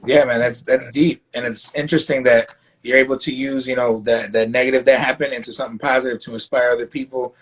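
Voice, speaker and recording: A man's voice: mid-range voice, flat delivery, quick pace, poor audio. A man hosting a casual live-stream interview show, speaking loosely with lots of fillers and restarts. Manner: loose, filler-heavy, stumbles over his words. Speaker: live talk show host.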